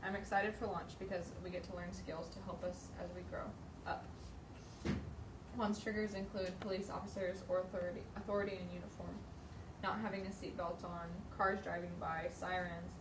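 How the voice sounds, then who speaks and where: A woman's voice: fairly low pitch, level tone, moderate pace. A woman taking part in a training, reading a first-person character profile aloud to a group. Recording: echoey room, distant mic, clear noise.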